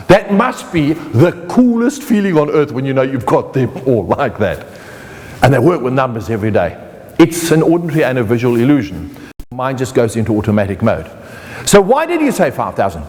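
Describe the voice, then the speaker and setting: A man's voice: a little high, big-room sound. A middle-aged man with a South African accent, teaching an online motivational course in a playful way that speaks straight to the viewer.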